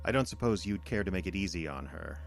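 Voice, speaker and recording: An actor in an audio drama playing a condescending alien invader in human guise, speaking in a measured, lecturing manner. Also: male voice, deep voice, expressive, creaky, soft background music. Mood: smug.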